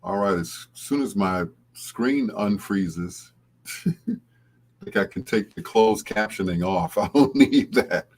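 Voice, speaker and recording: A man hosting a late-night music radio show, chatting casually and informally to his live listeners in a husky voice. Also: lowish voice, expressive, takes it slowly, a little mellow. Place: broadcasting on radio and live video stream.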